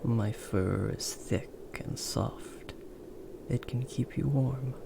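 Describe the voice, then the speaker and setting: A man voicing a big, gentle, protective werewolf in a comforting ASMR roleplay, speaking in a hushed, soothing, breathy murmur. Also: mid-range voice, takes it slowly, noisy background. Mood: tender, soothing.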